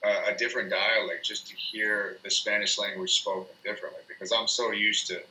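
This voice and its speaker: A man's voice: lowish voice, level tone, a little fast, pushed and bright, reverberant, slight background noise, poor audio. An American man who works as an English tutor, chatting casually and hesitantly, with frequent restarts and fillers. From United States. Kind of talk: casual conversation.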